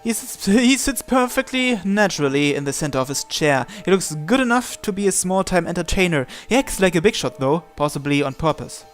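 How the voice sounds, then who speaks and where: A man's voice: high voice, sing-song, a little fast, hardly any pauses, soft background music. A man reading visual novel narration and dialogue aloud.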